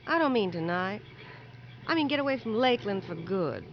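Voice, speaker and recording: A woman's voice: mid-range voice, animated, a little fast, natural voice. An actress playing a restless, coaxing young married woman pleading with her lover in a 1950s radio drama. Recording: dull, faintly noisy 1950s radio recording.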